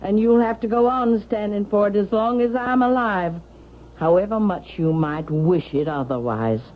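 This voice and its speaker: An actress playing a cantankerous, snobbish older matriarch in theatrical vintage radio drama style. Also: female voice, mid-range voice, moderate pace, narrow, tinny audio, lightly acted. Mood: scornful, imperious. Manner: haughty, theatrical. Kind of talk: 1970s-80s radio drama acting. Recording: thin band-limited vintage radio, hissy.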